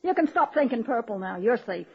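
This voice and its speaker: A woman's voice: on the high side, expressive, fairly quick, husky. An actress playing a superstitious, pushy working-class woman, blunt, earnest and ungrammatical, in a radio comedy drama. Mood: insistent. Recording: dull, lo-fi old radio recording.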